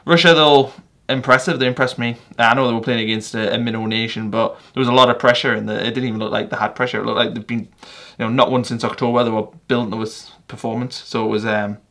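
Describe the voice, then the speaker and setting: A young man with a North East English accent chats his way through a football match reaction. His voice is bright and forward at a middling pitch, and he rambles loosely, correcting himself as he goes. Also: steady tone, fast talker, hardly any pauses, a little hiss or hum. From England. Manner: chatty, rambling, with fillers and self-corrections. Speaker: football fan vlogger.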